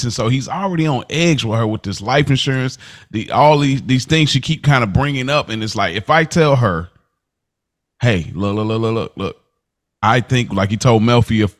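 Guy rambling on a podcast, mid voice, keeps acting stuff out. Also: mid-range voice. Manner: animated, rambling, acts out scenes. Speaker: podcast co-host. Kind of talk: casual chat.

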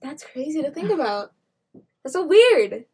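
A nineteen-year-old woman chatting casually with friends, lively and slangy, laughing often. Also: high-pitched, a little slow. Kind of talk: casual chat among friends. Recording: voice a bit far from the mic.

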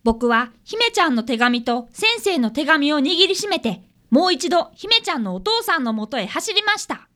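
A woman plays an eager young boy detective in a radio play. The voice is very high, bright and lively in pitch. He speaks politely and earnestly and takes his failures hard. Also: normal speed, few pauses. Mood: narrating. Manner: polite, earnest, deferential speech. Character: earnest fifth-grade boy, apprentice detective, polite and self-reproachful. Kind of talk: radio drama acting with narration.

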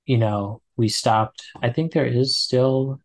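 A man's voice: mid-range voice, steady tone, slow. A man in his twenties, a guest in a casual conversation, talking with many fillers and restarts. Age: 20s. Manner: hesitant, full of fillers and restarts. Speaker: podcast guest.

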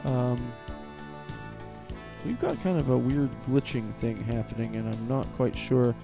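A man's voice: medium pitch, measured pace, gravelly, music in the background. A man co-hosting a podcast, talking bluntly with a lot of swearing and prodding his partner to keep going. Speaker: podcast co-host. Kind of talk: casual chat.

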